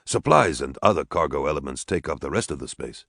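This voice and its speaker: A man doing a calm, precise historian. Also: very deep voice, brisk, vocal fry, no echo, studio quality, full character voice. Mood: matter-of-fact. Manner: level, matter-of-fact.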